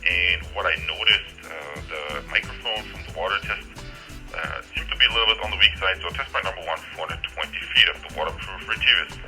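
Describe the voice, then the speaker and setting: A man with a fairly low voice talks through a casual product test. He speaks directly to viewers and tends to repeat his phrases. Faint music plays behind him. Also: a little fast, poor audio. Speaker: product reviewer, host. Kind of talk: casual product review and radio range test commentary.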